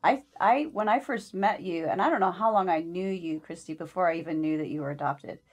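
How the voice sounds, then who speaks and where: A woman's voice: lowish voice, a little fast, breathy edge. A woman co-hosting a podcast, adding chatty asides in a casual way. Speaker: podcast co-host. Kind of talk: casual interview chat.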